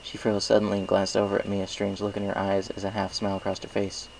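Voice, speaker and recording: Creaky-voiced man reading a story. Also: on the low side, completely flat delivery, fast, a little mellow, poor audio. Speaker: amateur story reader. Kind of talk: scripted first-person story narration. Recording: noticeable background hiss and noise.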